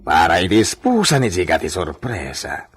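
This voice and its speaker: A man playing a hearty old-fashioned gentleman with a raspy voice in a radio drama. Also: sits low, sing-song, moderate pace, faint music behind, full character voice. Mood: jovial, teasing.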